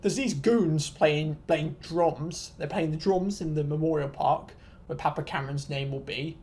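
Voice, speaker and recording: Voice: mid-range voice, measured pace, a little mellow. Rambling young vlogger, self-deprecating and sweary. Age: 20s. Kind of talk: casual storytelling rant to viewers.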